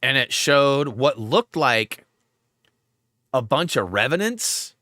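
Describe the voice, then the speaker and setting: A man's voice: medium pitch, sing-song, slow, sharp tone, no room sound, studio quality. Rambling man hosting a livestream. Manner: rambling, filler-heavy, lots of "like". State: stuffed up. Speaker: livestream host. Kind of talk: casual livestream chat.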